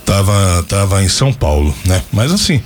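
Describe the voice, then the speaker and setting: A man's voice: sits low, big pitch swings, normal speed, a little hiss or hum. A man in his fifties hosting a radio show, chatting casually and rambling off into stories and asides. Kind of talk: casual on-air chat and storytelling.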